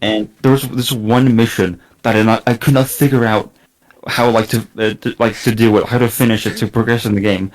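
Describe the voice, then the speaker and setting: Low-voiced Estonian man, halting casual talk. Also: steady tone, poor audio. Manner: halting, many fillers and restarts, swears casually. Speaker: podcast guest. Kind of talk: casual gaming chat.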